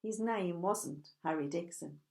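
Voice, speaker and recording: A woman's voice: on the low side, a little slow, darkish tone. A woman narrating a romance novel from a script, as an author reading her own work.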